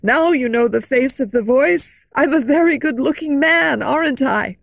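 A woman reading an audiobook and voicing a tormented masked man who shouts in bitter, possessive fury. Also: high-pitched, moderate pace, gravelly, dry recording. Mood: raging. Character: masked male phantom, tormented, raging, possessive.

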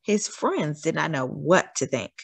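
A woman's voice: low voice, big pitch swings, measured pace, vocal fry, slightly rough, no room sound. A woman reading a children's story aloud expressively, stretching words out for theatrical emphasis.

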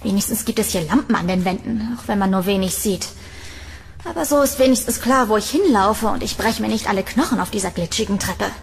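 A woman playing a curious, uneasy young tenant in a horror audio drama, with expressive delivery. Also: medium pitch, a little fast, vocal fry, a little hiss or hum, close to an everyday voice. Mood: curious, wary. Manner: expressive, talking to herself. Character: curious, uneasy young female tenant exploring a creepy house. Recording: music behind the voice.

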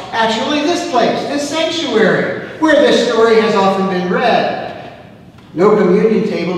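Older pastor preaching in an echoey sanctuary. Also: male voice, high-pitched, slight background noise. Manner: storytelling, gesturing, dropping to a hush on key phrases. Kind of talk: sermon. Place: church sanctuary, at the pulpit. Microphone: far camera, room mic. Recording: echoey church hall from far off.

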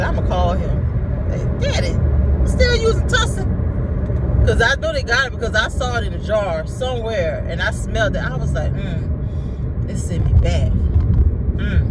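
A woman, chatting casually and jokingly to her viewers from her car. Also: on the high side. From South Carolina, USA. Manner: animated, joking, talks to herself aloud. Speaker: vlogger. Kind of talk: casual chat. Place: parked car, indoors. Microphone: phone on the dashboard. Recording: distant phone mic, loud car noise.

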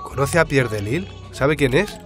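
A man's voice: mid-range voice, animated, moderate pace, over music, natural voice. Actor as an inquisitive, easygoing male reporter. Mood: probing. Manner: probing, casual questioning. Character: inquisitive, easygoing male journalist investigating a death. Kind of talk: radio drama acting.

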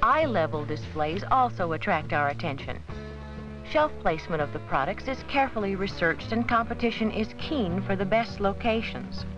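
Calm woman narrating a 1970s educational film. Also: mid-range voice. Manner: measured, instructive. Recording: dull 1970s 16mm film soundtrack with music.

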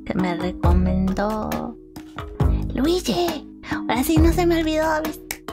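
Woman, very slow delivery, chatty reaction host, giggly, prominent music underneath. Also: on the high side, steady tone. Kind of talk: casual reaction commentary. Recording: loud music behind the voice. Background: music and the reacted video's audio.

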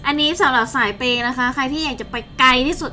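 A woman's voice: high-pitched, fast talker, bright, forceful tone, faint music behind. A young adult woman from Thailand hosting a game stream with casual, chatty, informal commentary. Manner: chatty and informal, exclaiming in play. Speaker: game channel host. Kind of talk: casual live gameplay commentary. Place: indoor streaming setup at a desk.

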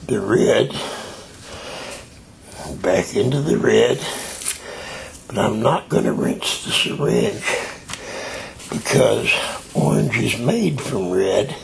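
An older man with a raspy voice, demonstrating a craft step by step while he works. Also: fairly low pitch. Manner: unhurried, explaining while he works. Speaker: tie-dye hobbyist demonstrating. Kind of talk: slow hands-on craft demonstration.

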